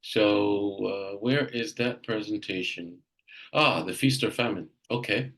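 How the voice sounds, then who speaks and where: A man's voice: fairly low pitch, takes it slowly, a bit bright, poor audio. Emphatic Jamaican-born man coaching a group. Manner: direct, emphatic, repeats himself. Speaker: real estate coach. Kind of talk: live group coaching session.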